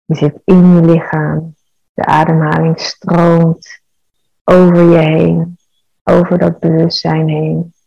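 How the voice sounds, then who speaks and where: Very slow, gravelly woman guiding meditation. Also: low voice, no echo, dull audio. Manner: calm, measured, soothing. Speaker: guided meditation leader. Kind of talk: guided meditation.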